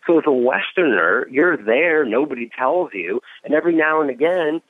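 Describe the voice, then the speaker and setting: A man's voice: a little high, moderate pace, no echo. A man from the Midwest, a comedian telling stories on a radio talk show, acting out the people he quotes. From Midwest US, outside Chicago. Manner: animated storytelling, acts out quotes. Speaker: comedian guest. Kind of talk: casual radio chat. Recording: tinny phone-like sound.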